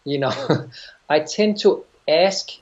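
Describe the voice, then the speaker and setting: A middle-aged man with a Danish accent, answering interview questions as a yoga teacher, thoughtful and halting, often restarting phrases. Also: a little high. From Denmark. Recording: dull lo-fi sound.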